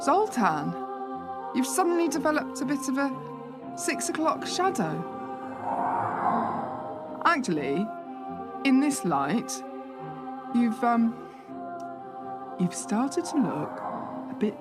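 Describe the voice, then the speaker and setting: A woman's voice: fairly high pitch, big pitch swings, takes it slowly, long pauses. A woman playing a skeptical, sardonic assistant hotel manager from London in a radio play, sometimes hesitant when flustered. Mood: uneasy, faltering. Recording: music behind the voice.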